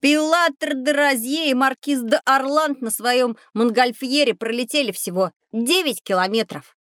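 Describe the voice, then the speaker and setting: A woman playing a curious, boastful young schoolboy in a children's educational audio drama, speaking in an eager, expressive way. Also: high-pitched, unhurried, dry recording, clean studio sound.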